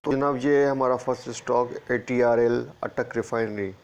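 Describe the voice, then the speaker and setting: A man's voice: medium pitch, steady tone, moderate pace. A man with a sore throat, steadily walking through stock charts and repeating price levels as a market analyst. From Pakistan. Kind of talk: screen-share chart walkthrough.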